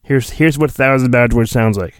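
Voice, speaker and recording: A man's voice: mid-range voice, brisk, smooth, dry recording, studio quality. A man hosting a podcast, reading a storybook in a mock-dramatic, playful way with casual asides.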